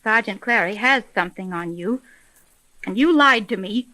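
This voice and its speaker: A woman's voice: medium pitch, animated, normal speed, sharp tone, no echo, low-quality recording. A woman playing an earnest private detective who runs an agency, in a 1930s radio drama recording. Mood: grave, hurt.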